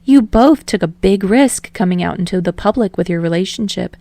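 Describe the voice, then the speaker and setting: A woman's voice: mid-range voice, lively intonation, fairly quick, dark tone, dry recording, lightly acted. A woman voicing an eager, prying celebrity magazine interviewer in an audiobook story, pressing and knowing. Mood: prying, playful.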